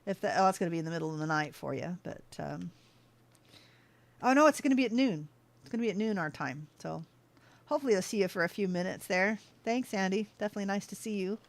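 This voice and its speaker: A woman's voice: medium pitch, quick pace. A woman streaming a game, chatting casually with her viewers, easily sidetracked and laughing often. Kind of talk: casual livestream chat while playing.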